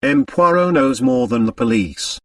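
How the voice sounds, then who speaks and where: A French police official acted by a male narrator in a mystery audiobook, pompous yet deferential. His phrasing is cautious and portentous, with lively pitch. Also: unhurried, no echo, studio quality, recorded from a distance, close to an everyday voice. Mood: hollow good humour.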